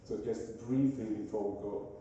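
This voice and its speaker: A man with a Venezuelan Spanish accent, preaching as a guest speaker in a church, pausing often to search for his words. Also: fairly low pitch, warm tone, muffled recording, slight background noise. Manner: halting, searching for words, frequent "uh" and restarts. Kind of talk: sermon. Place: church sanctuary. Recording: echoey hall from far off.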